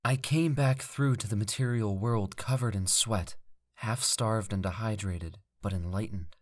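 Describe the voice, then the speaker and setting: A man voicing a driven wandering mage who tells his own life story in measured, theatrical storybook narration. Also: lowish voice. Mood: calm, resolved. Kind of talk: dramatic first-person fantasy story narration. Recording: music under the voice. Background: background music.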